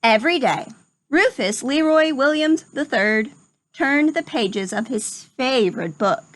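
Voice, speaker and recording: Expressive high-voiced woman reading to children. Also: measured pace. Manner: expressive, addressing young children. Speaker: storybook reader. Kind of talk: children's read-aloud narration.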